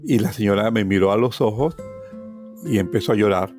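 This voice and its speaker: A man's voice: fairly low pitch, brisk, music in the background. A man from Colombia with a Colombian accent, telling his own life story as a guest. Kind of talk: personal storytelling.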